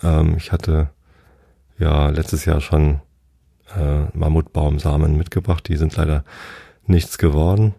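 Guy in his mid forties chatting about a trip, dark mellow voice, lots of fry, kinda rambly. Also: a bass voice, flat delivery, unhurried, pauses often. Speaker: host telling a personal travel story. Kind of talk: casual rambling travel storytelling.

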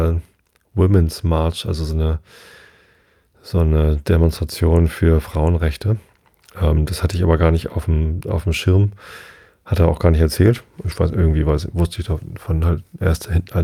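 Deep gravelly guy in his 40s, rambly podcast chat, lots of ums. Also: warm tone. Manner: chatty, rambling, many fillers and self-corrections. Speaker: podcast host. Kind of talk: casual solo podcast monologue.

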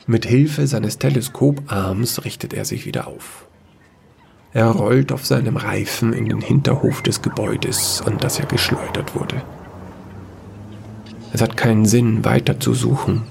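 A man's voice: medium pitch, measured pace, vocal fry, noisy background. A man reading scripted narration for a science-fiction fairy tale audio story.

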